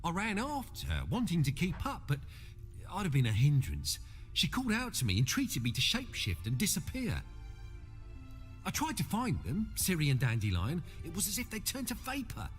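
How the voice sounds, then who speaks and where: A meek, excitable shapeshifter in a fantasy game. The high male voice swoops wildly as he frets, ringing with reverb over music. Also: moderate pace. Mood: rueful. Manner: fretful, questioning. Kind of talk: video game voice acting.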